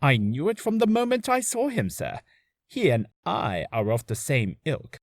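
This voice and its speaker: A man's voice: a little high, wildly swooping pitch, normal speed, dry recording. A man voicing an obsequious, self-important hotel bellboy with a theatrical, fawning manner for a character reel. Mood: dramatic, confiding.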